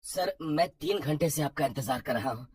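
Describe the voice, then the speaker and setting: A woman voicing a polite, anxious young working man, deferential and hesitant, in an animated horror story. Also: deep voice, normal speed, breathy edge, dry recording, pitched below the performer's own voice, exaggerated voice. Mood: pleading.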